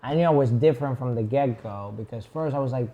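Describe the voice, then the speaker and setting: A man's voice: mid-range voice, fast, warm tone, lo-fi sound. A young man from Puerto Rico, a guest on a podcast, chatting casually and rambling with many fillers and restarts. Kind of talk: casual chat.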